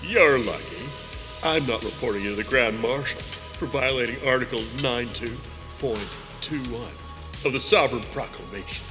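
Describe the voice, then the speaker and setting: An actor playing a rigid, literal-minded security chief in an audio drama, stiff and officious. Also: male voice, medium pitch, moderate pace, natural voice. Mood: indignant. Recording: long reverb, faint music.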